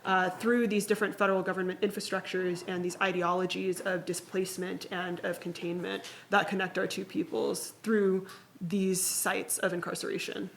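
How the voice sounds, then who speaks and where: A woman's voice: on the low side, flat delivery, normal speed, gravelly, slight background noise. Fluent woman explaining at length. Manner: fluent, explanatory, builds long connected points. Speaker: guest speaker. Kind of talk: live podcast interview.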